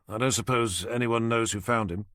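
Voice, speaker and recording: A man voicing a blunt, exasperated male detective in his own low voice. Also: steady tone, normal speed, fairly bright tone, dry recording, studio quality, close to an everyday voice.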